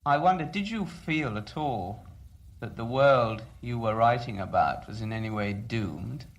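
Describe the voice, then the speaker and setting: A man's voice: mid-range voice, animated, measured pace. A man interviewing someone in conversation, phrasing his questions tentatively and in a roundabout way.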